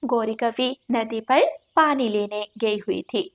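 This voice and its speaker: A woman's voice: a little high, slow. A woman narrating a scripted children's moral story.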